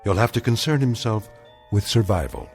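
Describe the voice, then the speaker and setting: An actor playing a grave, omniscient narrator of uncanny radio tales, speaking in clipped, portentous, measured phrases. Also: male voice, lowish voice, very animated, creaky, a little mellow. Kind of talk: dramatic scripted radio narration. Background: music.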